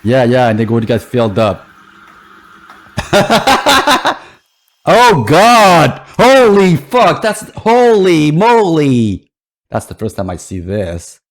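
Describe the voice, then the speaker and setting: Man, streamer reacting, casual, swears, slow pace, lively pitch. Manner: swears and laughs often, thinks aloud.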